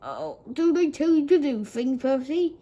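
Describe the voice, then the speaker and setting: A woman's voice: high-pitched, lively intonation, unhurried, mellow. A woman voicing a polite, proud, plucky male tank engine in a children's storybook, speaking earnestly. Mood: determined.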